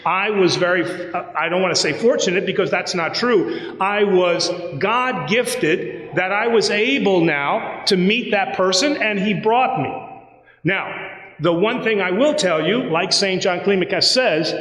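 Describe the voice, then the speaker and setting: A man preaching a sermon as a priest, telling a personal story in a lively, conversational way and acting out the dialogue. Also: high voice, unhurried. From United States. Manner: animated, conversational, acts out dialogue. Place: church sanctuary. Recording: roomy church with long reverb.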